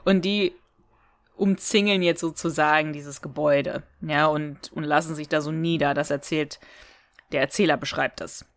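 A woman with a slightly low voice talks casually as a podcast co-host, retelling a story. She is chatty and giggly and slips into quoted lines as she goes. The recording is close and dry. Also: fairly even intonation, normal speed, no room sound. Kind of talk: casual chat retelling and reviewing an audio drama episode.

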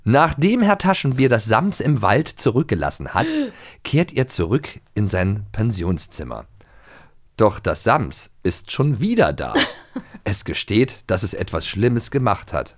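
A man's voice: fairly low pitch, very animated, measured pace. A man co-hosting a podcast, chatting casually and playfully about radio plays. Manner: playful, jokes and silly voices. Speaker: podcast co-host.

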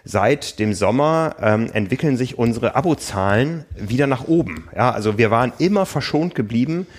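A man hosting a podcast, talking casually and thoughtfully, often pausing with fillers. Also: mid-range voice, animated. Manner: thoughtful, hesitant with many fillers. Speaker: podcast host and magazine editor.

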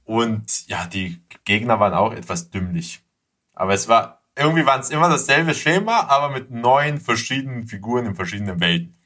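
A man's voice: mid-range voice, expressive, a little fast, sharp tone. A man chatting casually, enthusiastic and slangy in the way he talks. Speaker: podcast guest or co-host. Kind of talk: casual chat about childhood cartoons.

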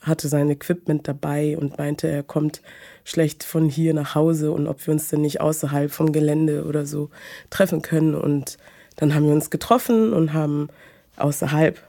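A woman, talking hesitantly about her personal life with many pauses and fillers. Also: deep voice, fairly even intonation, vocal fry, warm tone. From Munich, Germany. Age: 20s. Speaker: guest. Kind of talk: personal conversation.

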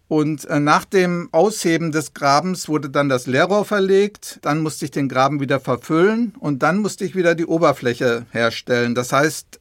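A man's voice: on the high side, fairly bright tone, no room sound, studio quality. A man explaining things patiently and plainly to young listeners as an expert guest in an interview. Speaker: government official, expert guest.

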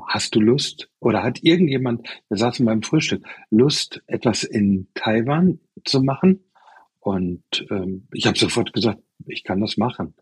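Husky-voiced chef in his sixties. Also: mid-range voice, no room sound, poor audio. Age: 60s. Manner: relaxed and expansive, with many fillers. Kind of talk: conversational interview answers.

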